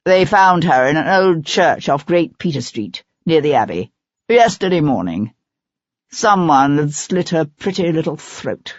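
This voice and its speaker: A narrator voicing an arrogant, theatrical older male stage actor with a deep, rich, stentorian voice and a curt, dismissive manner. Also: big pitch swings, a little slow, dry recording. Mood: curt, scornful. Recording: slightly dull, dry lo-fi recording.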